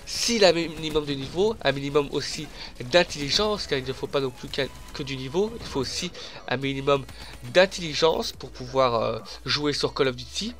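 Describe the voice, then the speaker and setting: A man's voice: on the high side, expressive, normal speed, a bit bright. A young man with a head cold and a blocked nose, chatting emphatically over a video game as he comments on his own play. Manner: chatty, emphatic, addresses viewers as "les gars". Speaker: gaming commentator. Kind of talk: casual voice-over commentary over gameplay.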